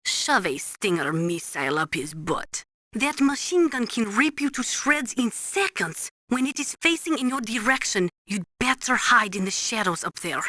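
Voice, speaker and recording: A woman's voice: fairly high pitch, sing-song, normal speed, pushed and bright, no room sound, heavily acted. An actress playing a blunt, knowledgeable female military weapons analyst in a video game, briefing in a precise, instructive way. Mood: matter-of-fact, urgent. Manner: precise, matter-of-fact, instructive.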